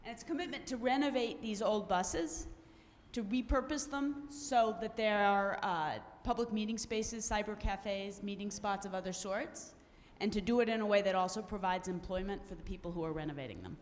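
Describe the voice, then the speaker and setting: A woman moderating a conference panel, introducing speakers briskly and stumbling over a name. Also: medium pitch. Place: conference hall. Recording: long hall reverb, faint room noise.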